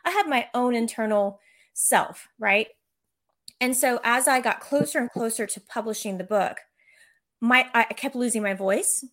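A woman author and coach explains her thinking in an earnest, conversational way, stumbling over a word now and then. Her voice sits somewhat above the usual pitch. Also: measured pace, a bit bright. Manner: earnest, explanatory, a few restarts. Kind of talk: casual conversational chat.

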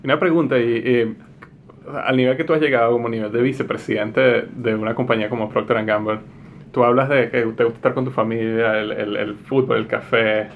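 A man's voice: mid-range voice, steady tone, fairly quick, vocal fry. A man hosting a podcast interview, asking questions with hesitations and restarts. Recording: dull lo-fi sound with faint noise.

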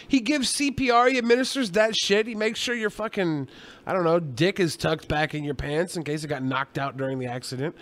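High-pitched male podcast co-host. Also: a little fast, fairly bright tone. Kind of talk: casual, profane chat.

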